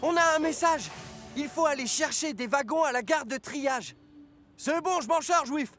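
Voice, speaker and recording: A man's voice: very high voice, normal speed, a bit bright, faint music behind. A man voicing a cheerful, carefree, grubby garbage engine in a French cartoon dub, enthusiastic and good-natured. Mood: cheerful, keen.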